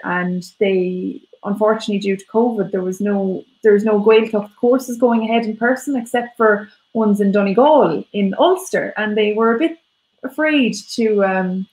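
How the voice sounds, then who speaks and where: A woman's voice: mid-range voice, a little mellow. Irish woman teacher in an echoey room. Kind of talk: casual explanatory chat.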